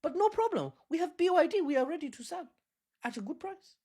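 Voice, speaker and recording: A man voicing a smug, gloating figure for China in a put-on Chinese accent, high and mocking. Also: big pitch swings, brisk, dark tone, heavily acted. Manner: mocking, sing-song, gloating. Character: smug China personified as a male trader.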